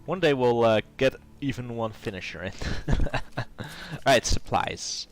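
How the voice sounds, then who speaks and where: A man's voice: mid-range voice, lively intonation, unhurried. A man with a cold and a nasal voice, hosting a casual gameplay commentary and thinking aloud with plenty of hesitation. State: has a cold. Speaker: let's play host.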